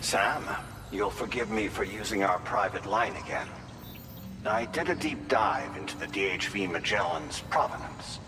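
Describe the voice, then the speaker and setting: A man playing an earnest investigator in a game cutscene. His low voice is formal and measured as he reports analytically, carried in a long, roomy reverb. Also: natural voice. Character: earnest male government investigator reporting privately. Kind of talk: video game cutscene dialogue.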